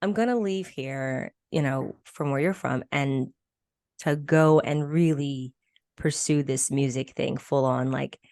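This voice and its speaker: A woman's voice: very deep voice, measured pace, gravelly, darkish tone, no echo, studio quality. A woman hosting a casual interview podcast, bubbly and gushing, laughing often.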